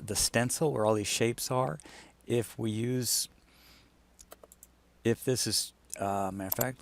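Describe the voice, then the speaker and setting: Low-pitched man giving a casual walkthrough. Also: slight background noise. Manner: informal, thinking aloud as he demonstrates. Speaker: client explaining a design project. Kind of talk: casual screen-share walkthrough.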